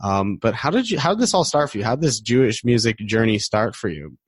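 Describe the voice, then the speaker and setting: A man's voice: fairly low pitch, big pitch swings, fast talker, breathy edge, dry recording, clean studio sound. A man hosting a podcast in casual, effusive interview chat, often repeating himself. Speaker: podcast host.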